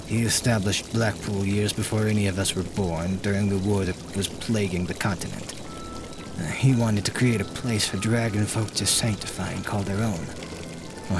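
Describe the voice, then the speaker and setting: Male actor as exasperated sorcerer, lowish voice, wry and grumbling, fantasy audiobook over clear music. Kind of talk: dramatised fantasy audiobook acting. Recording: music behind the voice.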